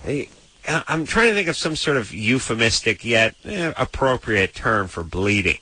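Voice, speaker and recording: A man's voice: medium pitch, big pitch swings, normal speed, bright, forceful tone, breathy edge, no echo, rough recording. A young man co-hosting a late-night call-in radio show, joking and rambling, often breaking off and restarting mid-sentence, at a studio microphone. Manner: playful, rambling, self-interrupting. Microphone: studio microphone on stand.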